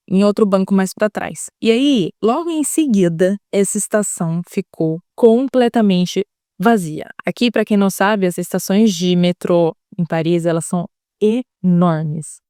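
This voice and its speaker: A woman's voice: medium pitch, mellow, clear, resonant tone, no room sound, studio quality. A young adult woman with a São Paulo Brazilian accent, earnestly retelling a frightening experience in a vlog, quoting her own thoughts dramatically. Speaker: vlogger. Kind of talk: storytelling vlog.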